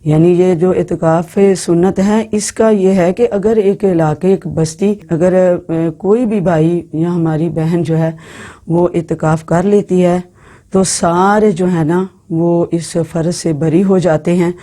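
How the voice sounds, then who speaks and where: A woman giving informal Islamic religious instruction to women, speaking in an instructive way with halting phrasing and repeated words. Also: on the low side, steady tone, warm tone. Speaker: religious teacher.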